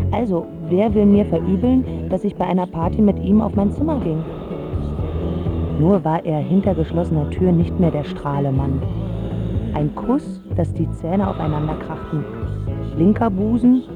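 A young woman playing a lovesick, sulky teenage girl from a wealthy family in a radio drama monologue, sardonic and husky, in clipped phrases. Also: lowish voice, phone-quality sound.

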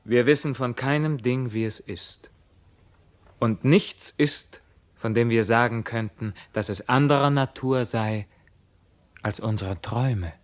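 A man's voice: medium pitch, a little slow, natural voice. Man acting a grave, dreamy captive prince. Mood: dreamy, solemn. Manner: solemn, declamatory. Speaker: radio drama actor. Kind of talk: theatrical German radio play acting.

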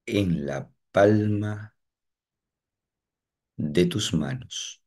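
A man guiding a meditation, giving slow, calm instructions with long pauses. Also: deep voice. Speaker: meditation guide. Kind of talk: guided meditation.

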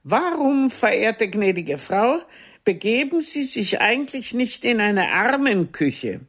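A woman playing a proud, bitter, sharp-tongued aging stage actress in a radio drama, with a haughty, theatrical delivery. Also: fairly high pitch, very animated, takes it slowly, heavily acted. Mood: cold, formal reading. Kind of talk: radio drama dialogue acting.

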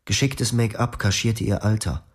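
An actor narrating as a young former psychiatric patient, in a quiet, reflective, melancholic tone in a radio play. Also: male voice, lowish voice, normal speed, husky. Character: young male patient recalling his clinic past. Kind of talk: first-person radio drama narration.

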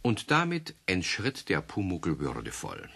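A man narrating a children's radio play in a calm storytelling cadence. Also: low-pitched, measured pace, husky.

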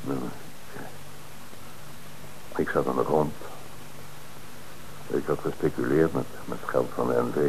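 A flustered bank director, caught in a lie, speaks in a low, deep voice that stumbles and repeats itself. Faint hiss runs under this old radio drama recording. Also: male voice, steady tone, a little slow, pauses often, poor audio. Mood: confessing. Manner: stammering, evasive, defensive. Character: flustered middle-aged male bank director caught lying.

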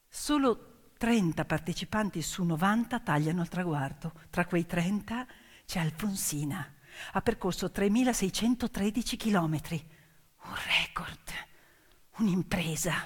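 Woman storyteller in a long-echoing hall. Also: medium pitch. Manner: emphatic, swinging from hushed to declaimed. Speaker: stage actress and storyteller. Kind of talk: dramatic theatrical storytelling monologue with piano. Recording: long reverberant hall with music. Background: piano music.

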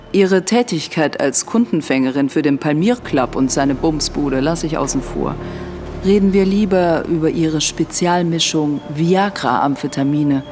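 A woman's voice: deep voice, lightly acted. An actress as a cool, controlling powerful woman. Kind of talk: radio drama dialogue. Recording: music behind the voice. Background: music.

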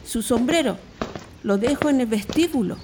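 A woman's voice: fairly high pitch, expressive, moderate pace, close to an everyday voice. A woman playing a deferential, fond household maid in a radio drama. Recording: noisy, cutlery and dishes clinking.

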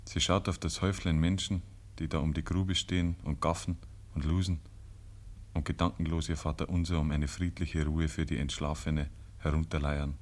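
A man's voice: rumbling low, little pitch movement, moderate pace. A man with a Bavarian accent narrating a rural tale in a literary radio play. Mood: measured, grave. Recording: 1950s radio recording.

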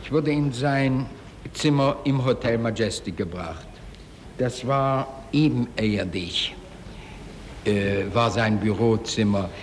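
An actor playing a male Holocaust survivor who testifies in court in a radio play, formal, precise and deliberate, pausing between phrases. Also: mid-range voice, lightly acted. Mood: measured, solemn. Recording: reverberant hall with faint noise.

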